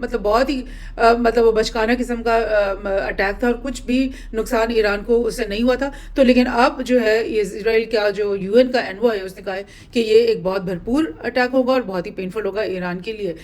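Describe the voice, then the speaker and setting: A woman gives informal news commentary as a host, explaining and rephrasing her points in a halting way. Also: a little high, fairly even intonation, darkish tone. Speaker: host presenting news analysis.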